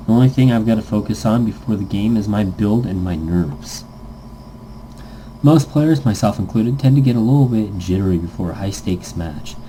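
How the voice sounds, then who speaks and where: A man's voice: fairly low pitch, mellow, a little hiss or hum, low-quality recording. A man casually reading a visual novel game aloud, stumbling over lines and tossing in goofy asides.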